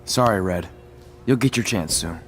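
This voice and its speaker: A man's voice: sits low, normal speed, faint music behind, natural voice. An actor playing a cocky, wisecracking young male wrestling trainee in video game dialogue. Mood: defensive. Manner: flippant, wisecracking.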